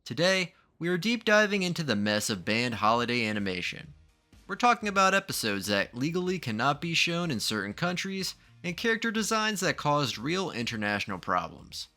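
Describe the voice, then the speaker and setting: Bright, dramatic male video-essay narrator. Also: a little high, big pitch swings, normal speed. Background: background music.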